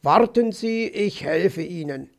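Man playing a slow, courteous gentleman fox. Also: high-pitched, lively intonation, full character voice. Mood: gallant. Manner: polite, slow, friendly. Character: courteous male fox, dazed, gallant and gentle.

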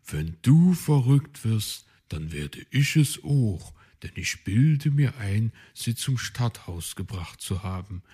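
A man's voice: on the low side, very animated, takes it slowly, hardly any pauses, mellow, breathy edge, exaggerated voice. A man voicing a polite, fussy male forest host. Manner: polite, stammering when flustered.

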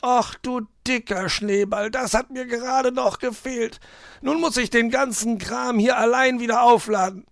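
High-pitched grumbling fox. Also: male voice, expressive, normal speed, gravelly, no echo. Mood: exasperated. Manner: whiny, scolding, exaggerated. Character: grumbling, put-upon male fox, huffy and formal. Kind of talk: children's storybook character voice.

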